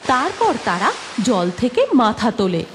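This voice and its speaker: Lively woman narrating a cartoon story. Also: fairly high pitch, measured pace, a little hiss or hum.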